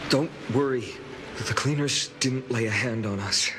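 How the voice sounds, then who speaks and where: An actor playing an earnest, worried hero in a video game, speaking directly. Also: male voice, mid-range voice, moderate pace, noisy background, close to an everyday voice. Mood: worried, urgent.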